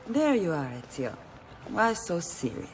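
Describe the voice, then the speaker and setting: An actress playing a playful, warm-hearted Italian confidante in a video game, teasing and lilting, with an Italian accent. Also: female voice, fairly low pitch, wildly swooping pitch, unhurried, slight background noise, full character voice, low-quality recording.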